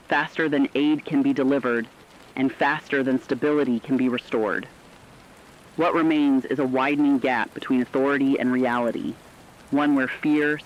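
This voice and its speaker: Man acting as sober radio reporter, medium pitch, dull lo-fi sound, formal, measured, scripted war bulletin. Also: natural voice. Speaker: radio news correspondent. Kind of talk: scripted emergency news broadcast narration.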